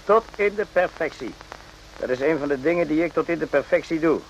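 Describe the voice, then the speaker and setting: An actor in a radio play voicing a brooding, rambling soldier who muses haltingly and repeats himself. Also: male voice, on the high side, expressive, muffled recording, slight background noise. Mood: chatty, defensive. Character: brooding, rambling soldier, former circus night watchman.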